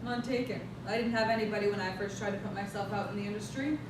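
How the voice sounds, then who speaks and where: A woman's voice: steady tone, fast, close to an everyday voice. A young woman acting a driven, authoritative scientist and mentor in a staged drama, firm, insistent and reproachful. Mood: stern, disappointed. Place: stage or hall at a staged reading. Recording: very echoey room, distant mic, noisy rumble.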